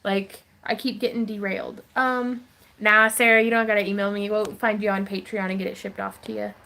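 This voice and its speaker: A woman's voice: medium pitch, level tone, a little fast, sharp tone. A woman hosting a craft livestream Q&A, chatty and giggly, with lots of fillers, from her home craft room. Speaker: craft channel host. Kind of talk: livestream Q&A chat.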